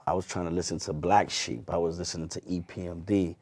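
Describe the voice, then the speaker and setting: Low mellow-voiced male hype man. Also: fast. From US, Lawrence Massachusetts. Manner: animated, repeats phrases, music slang. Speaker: guest, hype man and promoter. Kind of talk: casual interview storytelling.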